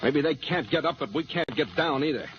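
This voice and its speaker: An actor playing a tough, hard-bitten, weary gang leader in a radio crime drama, terse and blunt. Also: male voice, fairly high pitch, expressive, brisk, close to an everyday voice. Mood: tense, commanding. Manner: terse, slangy, blunt commands. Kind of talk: old-time radio crime drama acting. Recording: dull, noisy old radio recording.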